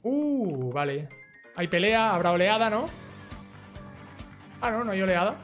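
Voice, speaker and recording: High-pitched Spanish man doing game commentary. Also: animated, a little slow, a bit bright. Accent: Spain. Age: young adult. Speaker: gaming YouTuber. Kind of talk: casual let's play commentary, reading game dialogue aloud. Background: video game music.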